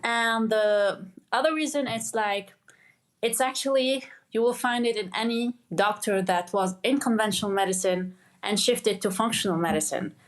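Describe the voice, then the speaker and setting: Halting young woman from Lebanon. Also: fairly high pitch. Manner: halting, many restarts and "like" fillers. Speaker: guest, medical doctor. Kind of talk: conversational interview.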